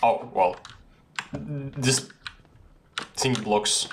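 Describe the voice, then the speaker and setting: A man's voice: mid-range voice, very slow, slight background noise. A young man giving casual, reactive commentary on a horror game, exclaiming and repeating short phrases, recorded in a room at home. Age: young adult. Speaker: gaming video creator playing a horror game. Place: small room at home, indoors.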